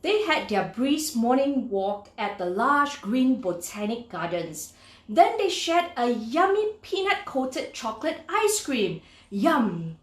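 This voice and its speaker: A woman reading a children's story aloud in an expressive, deliberate, instructive way, speaking directly to young listeners. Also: on the high side, reverberant.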